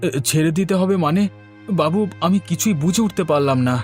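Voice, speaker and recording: A man's voice: a little high, a little fast, close to an everyday voice. A man playing a poor, humble village man in a cartoon, speaking deferentially and hesitantly. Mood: bewildered, pleading. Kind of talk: Bengali cartoon story voice acting. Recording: faint music behind the voice.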